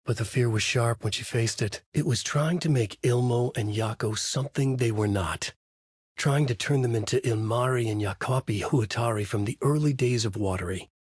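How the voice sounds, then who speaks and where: A man as a husky, brooding writer narrating darkly. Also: mid-range voice, a little mellow, no echo, clean studio sound, close to an everyday voice. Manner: grave, deliberate, moody storytelling. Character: brooding male writer narrating his dark thriller story. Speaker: video game voice actor. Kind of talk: dramatic noir narration.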